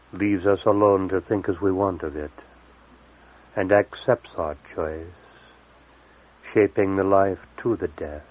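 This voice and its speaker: A man gives a poetry reading in a very deep voice. His pace is very slow, and he sets each phrase apart with deliberate pauses. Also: darkish tone, poor audio. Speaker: poet. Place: live recorded reading, 1978.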